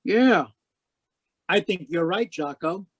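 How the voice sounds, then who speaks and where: An actor playing a world-weary, wisecracking private detective in 1940s radio noir style. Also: male voice, sits high, lively intonation, slow pace, slightly rough, no room sound, exaggerated voice. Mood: wry, brooding. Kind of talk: 1940s radio noir acting, first-person narration. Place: home room, live video call performance.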